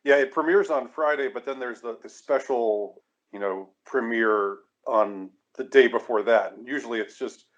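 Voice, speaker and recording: A man at mid pitch hosting a podcast, casual and halting, often restarting his sentences. His audio sounds muffled and lo-fi. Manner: hesitant, casual, many restarts. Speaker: podcast host. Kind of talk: podcast discussion.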